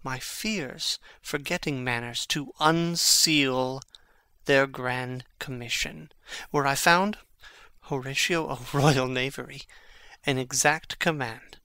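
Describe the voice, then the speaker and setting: An actor playing an intense, brooding young prince in a classical Shakespearean dramatic reading, with theatrical, emphatic phrasing. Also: male voice, mid-range voice, slow pace, sharp tone, clear, resonant tone, no echo. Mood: urgent, conspiratorial.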